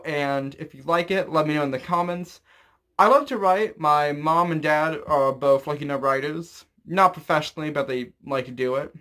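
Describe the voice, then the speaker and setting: A man's voice: fairly high pitch, fairly bright tone. A young adult man talking casually to an audience in a rambling, self-deprecating way. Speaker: vlogger. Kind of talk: casual chat to camera. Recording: clear background noise.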